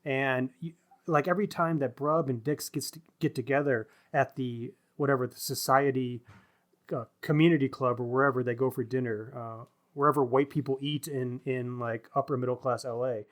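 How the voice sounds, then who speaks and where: A man hosting a casual book discussion podcast, talking in a halting way as he searches for words. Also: medium pitch, fairly even intonation. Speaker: podcast host.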